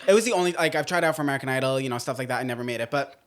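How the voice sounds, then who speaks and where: A woman's voice: rumbling low, racing. A young woman co-hosting a podcast, chatting casually and telling stories with lots of filler words. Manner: chatty, many "like" fillers, storytelling. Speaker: podcast co-host. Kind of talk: casual chat.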